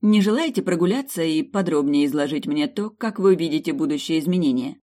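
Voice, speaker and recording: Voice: medium pitch, expressive, a little fast, mellow, lightly acted. A reader voicing a sly, regal dragoness queen. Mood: amused, regal.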